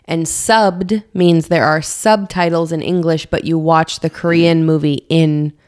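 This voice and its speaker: A woman's voice: deep voice, steady tone, measured pace, darkish tone. A young woman co-hosting a casual podcast, playfully recapping a movie plot. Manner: playful storyteller, recaps plots. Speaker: podcast co-host. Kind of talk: casual chat.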